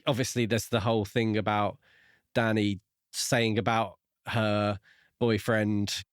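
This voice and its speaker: A man's voice: on the low side, little pitch movement, slow pace, no room sound, studio quality. A man co-hosting a book podcast, discussing his views in a casual, conversational way. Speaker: podcast co-host reviewing books.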